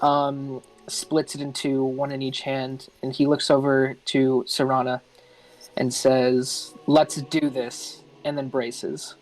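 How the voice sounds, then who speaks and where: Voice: mid-range voice, monotone, slow pace, low-quality recording. A tabletop game player on a phone mic, casually describing a character's actions and searching for words along the way. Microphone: phone with push-to-talk.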